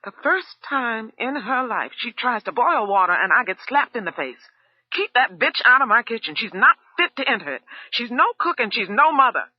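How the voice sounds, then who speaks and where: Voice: big pitch swings, normal speed, husky, no echo, highs cut off. A reader voicing a furious middle-aged woman cook who screams accusations and then breaks down crying, in an audiobook. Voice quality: shrill, screaming. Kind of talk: audiobook character voice.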